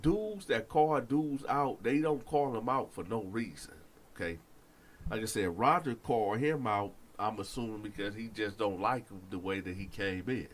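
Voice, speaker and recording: A man's voice: fairly low pitch, normal speed. Stuffy-nosed man telling a prison story. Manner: animated, addresses listeners directly, slangy. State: stuffy nose.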